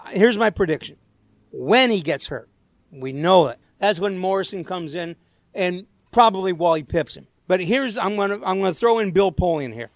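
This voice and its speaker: Opinionated man in a higher voice. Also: dry recording. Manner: chatty and opinionated. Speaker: co-host. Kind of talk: sports radio opinion talk.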